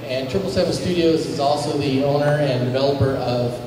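A man's voice: mid-range voice, steady tone, fairly quick, crowd noise. An American man, a studio head, introducing a product to an audience with a handheld mic, speaking casually with many fillers. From United States. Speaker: studio president presenting. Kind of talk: presentation to an audience. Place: large hall with flag backdrop. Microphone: handheld mic, recorded by a far camera. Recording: echoey hall recorded from far off.

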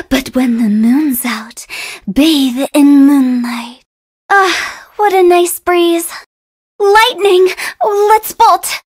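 A very high, girlish video game voice, playing a mischievous young funeral parlor director. She takes her time over the words with a slow, theatrical lilt. The delivery is teasing, eccentric and sing-song. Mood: breezy, then excited. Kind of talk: video game character voice lines.

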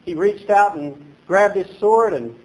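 A man in his thirties preaching a sermon from a lectern in a small chapel, animated and emphatic, recorded by a camera at a distance. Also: high voice, measured pace. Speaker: pastor.

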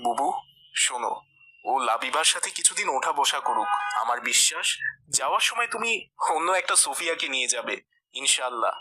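A man's voice: medium pitch, lively intonation, brisk, pauses often, pushed and bright, a little hiss or hum. A man voicing a pious, earnest village uncle in a cartoon. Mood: admonishing.

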